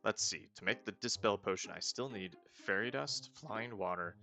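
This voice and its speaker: A man's voice: fairly low pitch, moderate pace, creaky, bright, forceful tone, soft background music. A man reading aloud the lines of a kindly female village healer in a video game, friendly and chatty.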